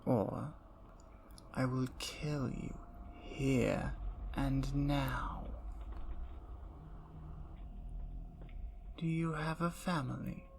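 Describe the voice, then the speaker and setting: A woman voicing a predatory, seductive female vampire in an ASMR roleplay, speaking in slow, low, teasing whispers. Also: creaky, darkish tone, a little hiss or hum, heavily acted.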